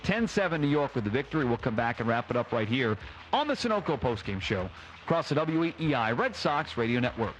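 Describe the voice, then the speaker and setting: A man in a mid-range voice hosts a radio sports post-game show. He reads scores, recaps and sponsor messages in a broadcast delivery. There is clear ambient noise behind him. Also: medium pitch, sing-song, fairly quick, poor audio. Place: ballpark broadcast.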